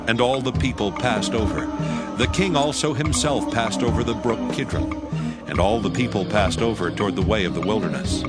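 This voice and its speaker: A man narrating scripture from a script in a solemn, formal storytelling manner. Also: on the low side. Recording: loud music under the voice.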